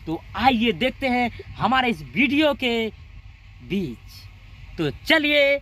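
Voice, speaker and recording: Bright, high-voiced clownish man hosting. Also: very animated, slow pace. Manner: clownish, repeats words and phrases. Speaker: YouTube channel host. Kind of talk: comic reaction commentary to camera.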